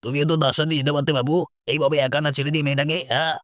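A man's voice: a little high, steady tone, racing, a bit bright, no echo, studio quality, close to an everyday voice. A man playing a practical, supportive villager in a cartoon, urging and matter-of-fact. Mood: advising. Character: practical, supportive male villager rallying his neighbours. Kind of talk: cartoon dialogue acting.

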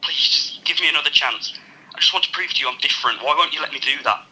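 A man's voice: on the high side, fast, sharp tone, low-quality recording. A young man acting in a radio drama, playing a pleading, manipulative ex-boyfriend through a telephone filter. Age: young adult. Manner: pleading, persuasive.

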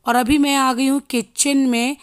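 A woman in her thirties with a hoarse voice, chatting casually to viewers in a home vlog, filmed on a handheld phone. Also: high-pitched, little pitch movement, measured pace, no echo, clean studio sound. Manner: chatty, addressing viewers directly. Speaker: vlogger. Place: home kitchen and living room. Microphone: handheld phone selfie.

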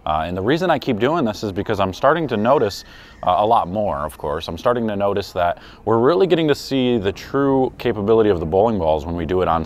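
A man in his forties giving an easygoing, unscripted product review in a casual, conversational way. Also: lowish voice. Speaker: product reviewer and bowling coach. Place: indoors in a pro shop and bowling alley. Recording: clear background noise of bowling alley.

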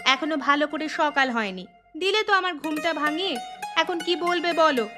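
Cartoon wife, played by a woman: high-pitched, grumbling, sharp-tongued, clear plucked music underneath. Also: moderate pace, natural voice. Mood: annoyed. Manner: scolding, complaining. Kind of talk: cartoon dialogue. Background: plucked string music.

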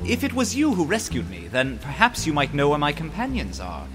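A man's voice: fairly high pitch, huge pitch swings, a little fast, background noise. A man voicing a gallant, pompous but cowardly young knight with a theatrical, formal manner in a cartoon fan dub. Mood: courteous. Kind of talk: animated comic fan dub. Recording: music behind.

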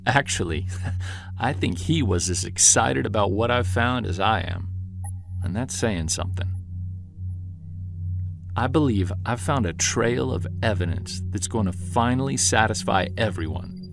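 An actor playing a driven, weary Bigfoot investigator in an audio drama, earnest and conversational. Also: male voice, medium pitch, gravelly. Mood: reflective. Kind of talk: audio drama, first-person narration and dialogue.

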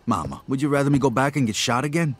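A man's voice: medium pitch, animated, fast, no echo, close to an everyday voice. An actor playing a young man home from the war, casual and streetwise, in a game cutscene. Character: young war veteran home on leave, wry.

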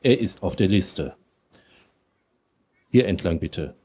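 A man's voice: deep voice, animated, slow, a little mellow, slightly rough, full character voice. A man playing a stern, formal Soviet embassy receptionist in a live radio play. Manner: clipped wording, officious. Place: outdoor festival stage under a tent.

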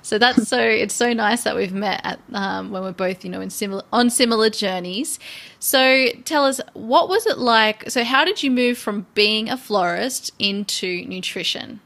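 A woman's voice: medium pitch, normal speed, creaky, fairly bright tone. A young woman from Sydney with an Australian accent, hosting a podcast in a casual conversational style. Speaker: podcast host.

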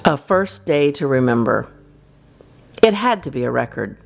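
A woman reading a children's storybook aloud as a storyteller, in an expressive, animated style. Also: a bass voice, moderate pace, gravelly, soft background music. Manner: expressive, acts out dialogue. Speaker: storyteller, channel host.

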